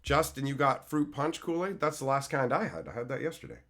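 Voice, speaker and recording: Hoarse man chatting on stream. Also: mid-range voice, fairly quick, fairly bright tone. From Canada. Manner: loose, swears freely, answers chat by name. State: hoarse from being sick. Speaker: live streamer reading chat. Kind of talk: casual live-stream chat.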